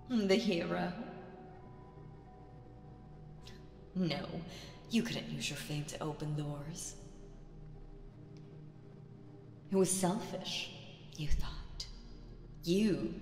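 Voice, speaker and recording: A woman voicing a proud, mocking demon queen in an ASMR audio roleplay, theatrical and condescending with dramatic pauses. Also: lowish voice, a little mellow. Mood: mocking amusement. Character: proud, mocking female demon queen, vengeful, regal villain. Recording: roomy with long reverb.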